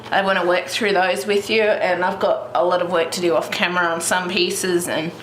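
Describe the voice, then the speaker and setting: A woman's voice: medium pitch, fairly bright tone. Young aussie woman, blocked-up nose, chatty colouring tutorial, echoey room, noise behind her. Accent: Australian. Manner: rambling, with many fillers and restarts. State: congested, stuffy nose. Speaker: colouring channel host. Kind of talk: casual tutorial narration while colouring. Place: at home, in front of a heater.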